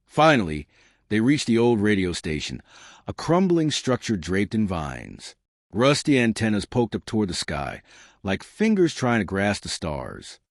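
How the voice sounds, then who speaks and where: A man narrating a scripted horror story in a measured, dramatic way. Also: fairly low pitch, huge pitch swings, no echo, clean studio sound.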